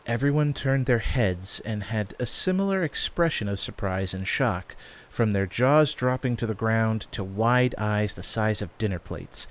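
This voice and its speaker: A man's voice: on the low side, lively intonation, measured pace. A man reading scripted fantasy story narration as the narrator of an audio drama.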